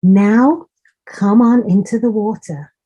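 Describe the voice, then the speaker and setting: Woman voicing a slow, proud storybook mother duck. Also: medium pitch, dark tone, poor audio. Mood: reassuring, instructing. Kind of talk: children's storybook reading.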